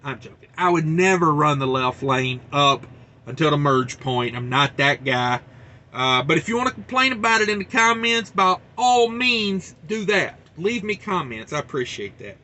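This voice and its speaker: Bright-voiced rambling trucker news host. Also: male voice, fairly high pitch, lively intonation, normal speed. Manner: rambling, joking asides. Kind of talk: casual news commentary.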